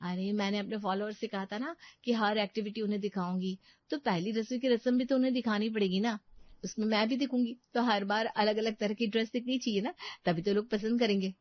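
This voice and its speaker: A woman's voice: mid-range voice, fairly even intonation, fairly quick, dry recording, dull audio, close to an everyday voice. A woman voicing a vain, bossy young bride who chirpily performs for her followers in a cartoon story. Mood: showy. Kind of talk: cartoon moral-story dialogue.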